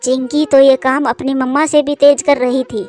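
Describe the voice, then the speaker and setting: A woman's voice: high voice, flat delivery, moderate pace, darkish tone, faint music behind. A woman reading scripted narration for a children's story.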